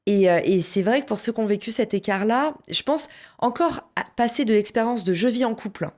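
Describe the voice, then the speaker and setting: A French woman telling a personal story in a casual interview, lively and slangy, with many fillers and restarts. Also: on the high side, brisk. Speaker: podcast guest, entrepreneur.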